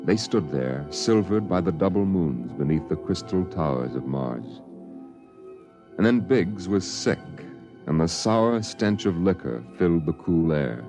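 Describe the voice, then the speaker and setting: A male narrator reading a 1950s radio drama in a slow, solemn, deliberate way. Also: low-pitched, animated. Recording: muffled old radio broadcast with music.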